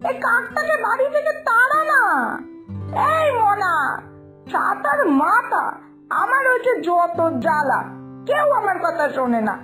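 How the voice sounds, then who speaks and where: A woman plays an exasperated village mother from a cartoon fairytale. The voice is extremely high and shrill, swooping widely as she nags, scolds and wails. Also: very animated, a little slow, long pauses, over music, heavily acted. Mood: exasperated, complaining. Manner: shrill scolding, wailing complaints.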